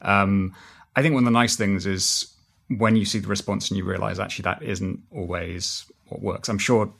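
A man's voice: on the low side, steady tone, moderate pace, gravelly, a bit bright. A man being interviewed as a podcast writer, talking conversationally and acting out little quoted reactions as he explains.

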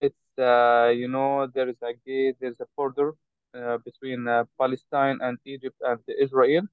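A man born in Saudi Arabia, speaking haltingly with many hesitations as a guest in an interview. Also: medium pitch, level tone, clean tone, dry recording. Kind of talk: interview over a call. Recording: tinny choppy call line.

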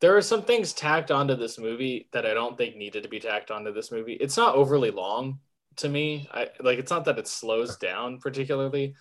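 A man's voice: mid-range voice, fairly quick, a bit bright. A man with a mid-range voice gives his opinions on a film in a loose, easygoing way. He circles back on his own points and thinks aloud as he talks. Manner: rambling, lots of fillers and restarts, thinks aloud. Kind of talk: casual film review chat.

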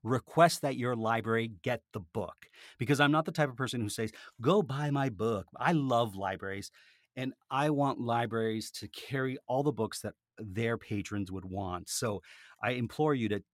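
A man speaking as a podcaster and author, telling things in an animated, conversational way. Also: mid-range voice, normal speed, no room sound, clean studio sound. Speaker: podcaster and author, interview guest. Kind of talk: casual conversational interview, with a read-aloud book passage.

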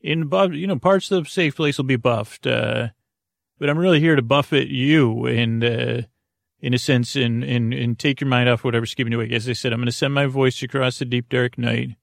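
A man hosting a bedtime podcast with a creaky voice, rambling in a lulling, hesitant, meandering way that keeps drifting into tangents. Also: mid-range voice, steady tone, moderate pace, dry recording, studio quality. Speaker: podcast host. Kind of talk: rambling bedtime monologue for sleep.

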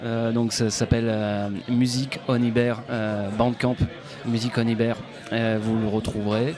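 A man, a guest musician, answering interview questions in a hesitant way full of pauses and restarts, at a folk club bar. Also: medium pitch, little pitch movement. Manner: hesitant, many fillers and restarts. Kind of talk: casual interview. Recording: live bar recording with crowd chatter. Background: crowd chatter.

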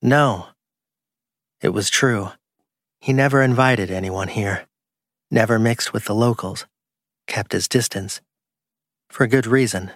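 A man's voice: lowish voice, slow, pauses often, no room sound, studio quality. A man reading crime fiction as a scripted audiobook narration.

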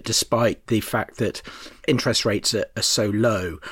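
A man's voice: medium pitch, no echo. Man interviewing, close dry sound. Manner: probing, clarifying questions. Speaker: podcast host, interviewer. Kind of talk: podcast interview questioning.

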